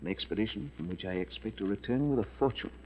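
A man's voice: fairly low pitch, lively intonation, fast, slightly rough. An actor playing an assured, coaxing male schemer and secret lover in a 1940s radio drama. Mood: coolly persuasive. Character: smooth scheming lover, calmly murderous treasure hunter. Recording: tinny noisy 1940s radio recording.

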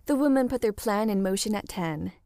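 A woman narrates scripted fiction in the manner of an audiobook. Her pitch sits in the middle for a female voice, and the sound is dry, free of room echo. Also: animated, fairly quick, mellow.

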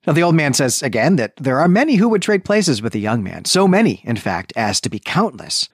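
Animated man recapping a story. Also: mid-range voice, brisk, husky, no room sound, studio quality. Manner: explanatory, often checks in with "right". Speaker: podcast co-host. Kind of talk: literary recap, retelling a story conversationally.

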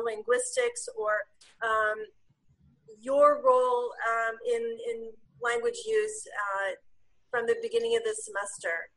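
A woman's voice: high voice, a bit bright, poor audio. A woman leading a class discussion on a video call, explaining with frequent ums.

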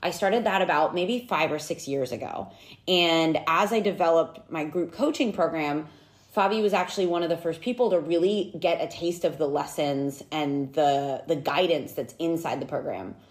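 A woman's voice: deep voice, normal speed. A woman in her thirties, a podcast host and nutrition coach, chatting casually and upbeat with her best friend. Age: 30s.

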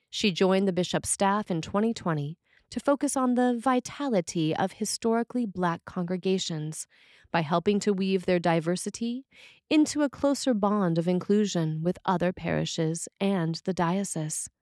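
A woman reading a scripted sermon in a measured, deliberate way with pauses between phrases. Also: lowish voice, darkish tone, dry recording, clean studio sound. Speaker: sermon reader.

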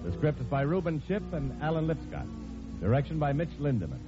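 An American man announcing with a very lively, fairly high voice. It is a polished 1950s radio sponsor read, on a muffled old recording with music behind. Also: fairly quick.

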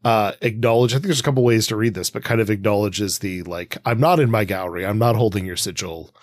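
A man with a fairly low voice talks as a podcast co-host. He works through his analysis casually, in winding, hesitant sentences. The sound is very dry, with no room to it. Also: fast, no room sound. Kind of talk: casual analytical book discussion.

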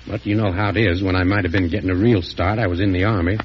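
An actor playing a humble, earnest young war veteran in an old-time radio drama, speaking politely and respectfully. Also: male voice, sits low, steady tone, quick pace, natural voice. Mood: earnest, subdued. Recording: muffled, noisy vintage radio recording.

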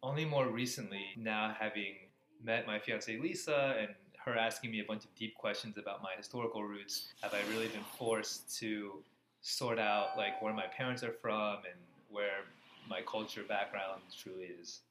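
A man's voice: lowish voice, fairly even intonation, normal speed. An American man in his thirties, answering interview questions in a casual way. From Florida, USA. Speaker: interview guest, cardiology fellow. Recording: echoey room, faint background noise.

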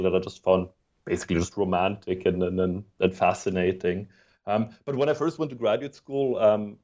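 A man's voice: fairly low pitch, a little mellow, lo-fi sound. A man from Sweden, raised in Germany, speaking English as a second language with a mixed European accent; a historian talking as a podcast guest, hesitant, with many ums and restarts. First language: not English. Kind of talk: podcast interview.

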